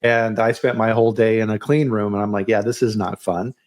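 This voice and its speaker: A man's voice: lowish voice, little pitch movement, a little fast, darkish tone. A man hosting a live online chat, talking in a casual, chatty way with frequent restarts and stammers. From United States. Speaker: podcast host.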